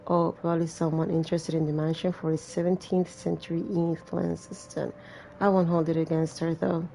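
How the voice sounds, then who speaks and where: A woman's voice: deep voice, flat delivery, normal speed, gravelly, mellow, slight background noise. A woman reading a visual novel aloud as a gamer, with casual, irreverent and sweary asides. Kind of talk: let's-play reading with casual commentary.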